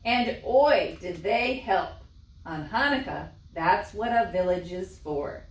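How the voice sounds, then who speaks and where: A woman's voice: on the low side, lively intonation, slow pace. A woman presenting a library storytime, reading and explaining crafts expressively for young children. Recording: echoey room, distant microphone.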